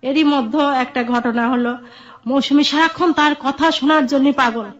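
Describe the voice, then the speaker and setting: High-voiced Bangladeshi woman, muffled recording. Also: steady tone, normal speed. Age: middle-aged. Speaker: guest telling her life story. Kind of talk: personal storytelling in an interview. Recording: dull, muffled lo-fi audio.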